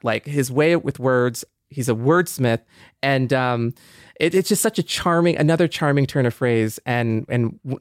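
A man co-hosts a podcast in loose, casual chat, circling his points and restarting his sentences as he works them out. His voice sits at a middle pitch. The sound is close and dry. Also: no echo. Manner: rambling, thinks aloud with many restarts. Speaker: podcast co-host.

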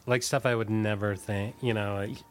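A middle-aged man from Washington DC answering casually as an interview guest, tentative and halting. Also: lowish voice, steady tone. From Washington DC, United States. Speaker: interview guest, punk musician.

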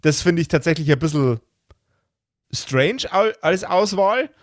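Dry-sounding, higher-voiced man thinking aloud. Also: animated, measured pace, no room sound. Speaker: podcast host and game master. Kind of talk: casual world-building discussion for a role-playing podcast.

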